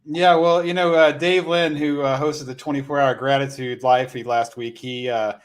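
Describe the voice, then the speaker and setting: A man's voice: mid-range voice, level tone, normal speed, clean tone. A man hosting a podcast in a casual, chatty style, with a self-deprecating, joking way of talking. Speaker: podcast host. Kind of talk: casual chat.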